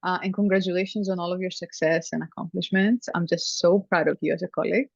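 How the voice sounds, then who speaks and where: A woman's voice: lowish voice, steady tone, fast, creaky, breathy edge, dry recording. Greek-born woman, chatty with many ums. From Greece. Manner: warm, chatty, many ums and soft laughs. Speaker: family therapy professor, guest. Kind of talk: conversational interview. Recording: dry, dull lo-fi sound.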